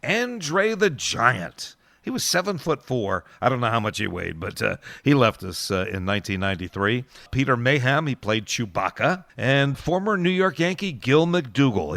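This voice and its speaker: A man's voice: medium pitch, fairly bright tone, no echo. A middle-aged man presenting an oldies radio show as its DJ, chatting casually between songs with nostalgic asides. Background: oldies music.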